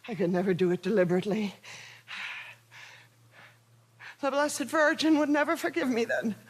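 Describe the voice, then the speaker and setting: A woman's voice: a little high, fast, close to an everyday voice. An actress playing a fragile, morphine-addicted mother who pleads, denies and drifts into dreamy, evasive talk. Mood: hushed. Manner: emotional, pleading, veering to denial. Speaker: actor. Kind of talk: stage drama acting.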